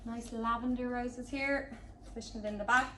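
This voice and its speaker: A woman's voice: fairly high pitch, steady tone, normal speed, fairly bright tone. A woman giving a chatty, informal hands-on tutorial as a florist, talking casually as she demonstrates. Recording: roomy echo, distant mic, background noise.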